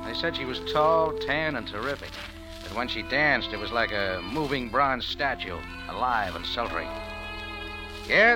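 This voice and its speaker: Guy doing wisecracking american noir bar owner, dry delivery, average pitch, vintage radio with music. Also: close to an everyday voice, rough recording. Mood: sardonic. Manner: deadpan, wisecracking. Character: wry, hard-boiled American bar owner and adventurer. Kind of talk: 1940s radio noir narration and dialogue. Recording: old 1940s radio recording with music.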